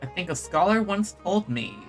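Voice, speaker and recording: A man streaming a video game, informally reading dialogue aloud and thinking out loud as he plays. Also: high voice, animated, moderate pace, soft background music.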